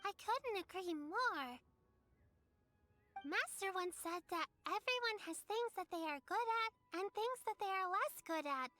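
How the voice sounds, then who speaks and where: A woman's voice: very high voice, animated, a little slow, pushed and bright, soft background music, exaggerated voice. A woman voicing a polite, caring little girl in an anime game, with a small, earnest, childlike voice. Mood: kind, helpful.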